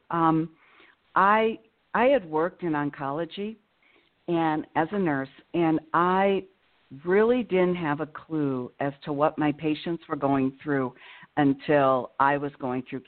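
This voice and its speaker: A woman's voice: low voice, a little slow. Woman on a tinny phone line. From Illinois. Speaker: guest, nurse and cancer survivor. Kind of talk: phone-in radio interview.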